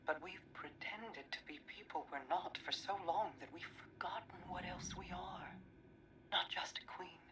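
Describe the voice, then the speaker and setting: An actress playing a wistful, weary queen, speaking in a hushed, measured way in a dramatic game cutscene. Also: fairly low pitch. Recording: slightly distant, music behind.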